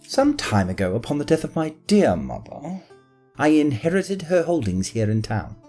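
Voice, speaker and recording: A man's voice: fairly high pitch, very animated, normal speed, warm tone, faint music behind. An actor playing a spoiled, arrogant nobleman who talks in a haughty, self-pitying way. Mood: solemn. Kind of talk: fan audio drama acting.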